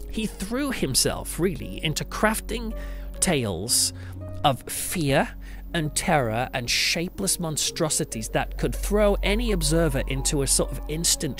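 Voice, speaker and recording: A man hosting a podcast in a reflective, semi-scripted monologue, earnest and thoughtful, with emphatic, dramatic phrasing. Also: mid-range voice. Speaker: podcast host, church pastor. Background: background music.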